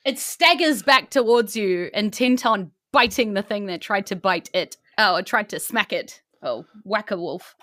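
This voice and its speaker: Bright-voiced New woman running a game. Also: mid-range voice, measured pace, hardly any pauses. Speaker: game master of a tabletop RPG podcast. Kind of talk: casual improvised game narration.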